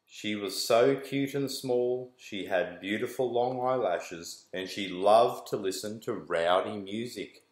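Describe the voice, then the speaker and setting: A man's voice: lowish voice, takes it slowly, few pauses. Man reading a picture book, roomy echo. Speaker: school staff member reading aloud to children. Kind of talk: read-aloud of a rhyming picture book. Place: small indoor room with bare walls.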